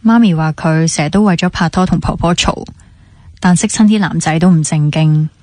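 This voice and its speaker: Actress as mellow, thoughtful young woman. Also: fairly low pitch, normal speed, no room sound, clean studio sound, lightly acted. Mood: understanding, reflective. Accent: Hong Kong Cantonese. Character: thoughtful, forgiving young woman confiding in someone. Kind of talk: naturalistic radio drama dialogue.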